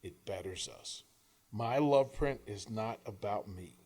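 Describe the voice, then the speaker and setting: A man reading scripted inspirational prose in an earnest, emphatic way, with deliberate phrasing and dramatic pauses. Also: on the low side, a little mellow, slightly rough. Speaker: author reading own work; coach and radio host.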